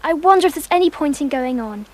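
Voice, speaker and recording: A woman's voice: high-pitched, expressive, quick pace, darkish tone. An actress playing a cautious, reluctant older schoolgirl in a radio drama. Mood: anxious, reluctant.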